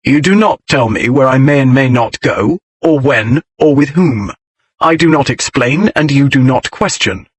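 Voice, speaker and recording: A man voicing a quick-tempered young nobleman who speaks in a curt, stern, commanding way with a husky tone. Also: mid-range voice, lively intonation.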